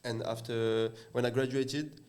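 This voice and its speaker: A young man with a French accent, a podcast guest speaking English as a second language, halting and full of fillers as he searches for words. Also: mid-range voice, little pitch movement, darkish tone. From France. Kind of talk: casual podcast chat.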